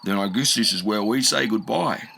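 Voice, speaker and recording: A man doing a big gentle dinosaur. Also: fairly low pitch, normal speed, vocal fry, a little hiss or hum.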